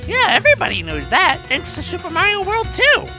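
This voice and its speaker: A woman's voice: extremely high pitch, fairly quick, exaggerated voice. A woman voicing a cheeky, opinionated male cartoon monkey in a fan audio drama, chatty and sassy. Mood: sarcastic. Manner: animated, sassy. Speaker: co-host of a fan question show. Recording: dry voice over background music.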